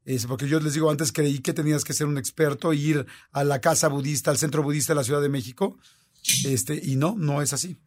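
A man's voice: fairly high pitch, fairly even intonation, fairly quick, darkish tone. A man with a Mexican Spanish accent, hosting a conversation and talking earnestly and animatedly. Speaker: host. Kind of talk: casual conversation.

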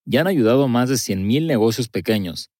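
A man reading a sponsor message in a steady, clear promotional delivery. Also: mid-range voice, brisk, no echo, studio quality. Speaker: sponsor announcer. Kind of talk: scripted ad read.

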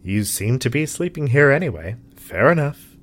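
A man playing a reserved, dryly patient scientist in an audio drama, formal and measured. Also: mid-range voice, very animated, a bit bright. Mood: dry, resigned.

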